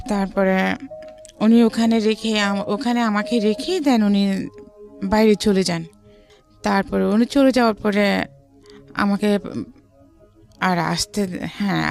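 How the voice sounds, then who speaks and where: A woman with a Bangladeshi accent telling her personal story as an interview guest, often relaying what others said. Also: mid-range voice.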